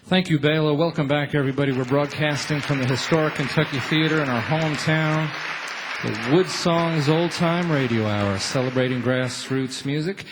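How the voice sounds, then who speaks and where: A man's voice: on the high side, normal speed, noisy background. A man hosting a live music radio show from a theatre stage, talking to guests and audience.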